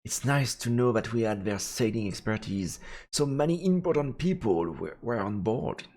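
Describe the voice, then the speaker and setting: A man's voice: mid-range voice, expressive, moderate pace, darkish tone. A man reading visual novel narration aloud as he streams a let's play. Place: indoors, streaming setup. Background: faint game music.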